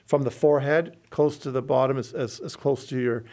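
A middle-aged man from Lebanon, a bishop, explaining church teaching in an interview with earnest, halting restarts. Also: mid-range voice, steady tone, a little mellow.

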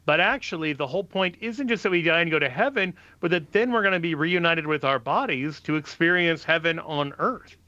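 A man's voice: a little high, fairly quick, hardly any pauses, bright, forceful tone, no echo, lo-fi sound. A man who is a guest on a call-in radio show, patiently explaining questions of faith to callers.

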